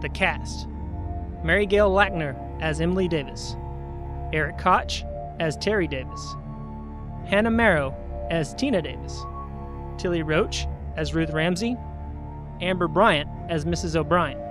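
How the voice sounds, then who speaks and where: A man's voice: a little high, a little slow, long pauses, music in the background. A man reading scripted credits as the announcer of an audio drama.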